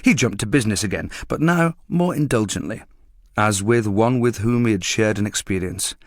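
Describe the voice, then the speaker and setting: Low-voiced man narrating a literary story. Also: normal speed, no echo, clean studio sound.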